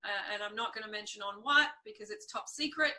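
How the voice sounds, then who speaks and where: A woman hosting a casual interview, chatting in an animated, encouraging and agreeable way. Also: medium pitch, fairly quick. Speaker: interviewer, host.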